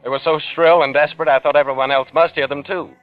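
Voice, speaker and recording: A man's voice: a little high, steady tone, fast, natural voice. An actor playing a haunted, paranoid man who is tense and defensive, in old-time radio suspense style. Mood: haunted, brooding. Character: haunted, paranoid man under suspicion, narrating his unraveling. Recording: muffled old radio recording with music.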